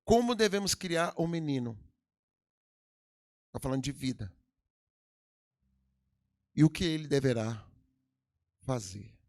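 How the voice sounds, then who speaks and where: A man's voice: medium pitch, animated, takes it slowly, gravelly, long pauses, a little mellow. A middle-aged Brazilian man with a Brazilian Portuguese accent, preaching a sermon in a church with emphatic swells and sudden drops. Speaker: pastor. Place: church hall.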